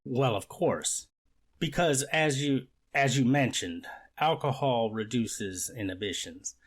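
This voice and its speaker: A man playing an earnest, stern courtroom official in a readers theater reenactment, speaking in a measured, deliberate way. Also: medium pitch.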